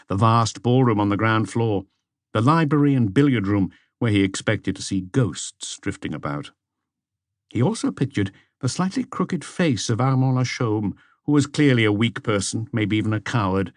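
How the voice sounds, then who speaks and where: A man narrates a mystery audiobook in a low voice. The recording is close and dry, with the delivery of scripted prose storytelling. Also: dry recording. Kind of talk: scripted detective novel narration.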